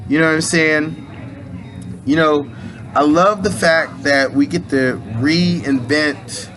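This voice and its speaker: A man's voice: on the high side, takes it slowly, a bit bright, faint music behind, poor audio. A man in his late thirties giving a rambling, casual spiritual pep talk in loose slang, restarting his sentences often. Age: 30s. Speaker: aspiring minister and motivational speaker.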